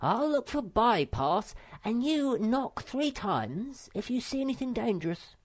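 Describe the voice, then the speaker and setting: A woman voicing a small, timid and bossy male creature in a storybook reading, squeaky and fretful. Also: big pitch swings, unhurried.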